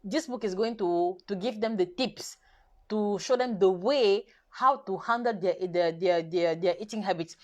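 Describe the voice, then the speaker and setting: A woman whose first language is French, hosting a live nutrition session in careful, halting English full of restarts, coaxing her viewers directly. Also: medium pitch, darkish tone. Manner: halting, self-correcting English with many restarts and fillers. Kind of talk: live stream chat to viewers.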